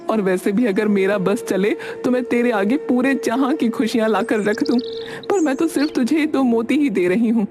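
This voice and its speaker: A woman's voice: a little high, lightly acted. A woman playing a loving, ailing mother of a grown son in a Hindi cartoon story, tender and encouraging. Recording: loud background music.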